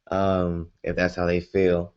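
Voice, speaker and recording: A man's voice: deep voice, a little mellow, muffled recording. A young American man chatting casually in a live Q&A vlog, rambling with many fillers and restarts. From United States. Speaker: vlogger.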